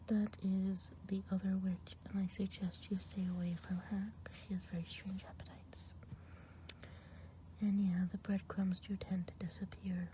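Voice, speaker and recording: A woman voicing a kind, gossipy good witch in an ASMR roleplay, whispering close to the mic and rambling with gentle hesitations. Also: medium pitch, a little hiss or hum, natural voice. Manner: whispered throughout.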